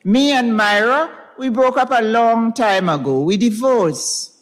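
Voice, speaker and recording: A woman's voice: on the high side, a little slow, pitched above the performer's own voice, lightly acted. A woman voicing an earnest, pleading husband in a dramatized story reading, with emphatic delivery. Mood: insistent pleading. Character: pleading, insistent husband, a grown man. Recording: reverberant recital hall.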